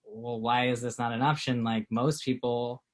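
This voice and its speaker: A man's voice: mid-range voice, steady tone, normal speed. A man in his late twenties from Texas, co-owner of a small clothing company, answering interview questions in a chatty, filler-heavy way. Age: 20s. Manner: chatty, lots of fillers, laughs easily.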